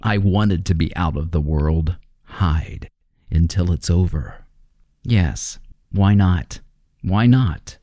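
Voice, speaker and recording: A low-voiced, haunted chemist, acted by a man in an old-time radio horror play. He talks very slowly and deliberately in a close, dry sound. His manner is brooding and domineering, circling back over his own phrases. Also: animated, dark tone, no room sound. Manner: deliberate, repetitive, brooding monologue.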